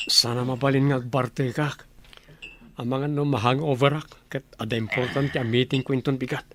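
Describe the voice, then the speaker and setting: A man with a Filipino accent playing a sensible, reluctant young bachelor in a village comedy radio drama, bantering in a casual, measured way. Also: mid-range voice, fairly even intonation, a bit bright, slight background noise, natural voice.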